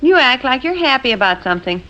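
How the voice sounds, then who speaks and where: A skeptical, folksy American housewife in a vintage radio comedy. Her voice is bright and fairly high, with a dry edge, on a dull old broadcast recording. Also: big pitch swings, fast, full character voice. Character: dry, skeptical middle-aged housewife and mother. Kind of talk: 1940s radio comedy acting.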